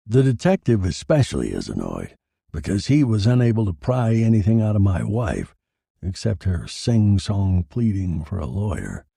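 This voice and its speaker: A man's voice: on the low side, wildly swooping pitch, normal speed, gravelly, dark tone, slightly rough, dry recording, clean studio sound, exaggerated voice. A man voicing a bitter, sardonic dead husband who narrates his own noir horror story in a theatrical way. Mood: wry, brooding. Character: dead murdered husband, bitter, wry, macabre narrating corpse.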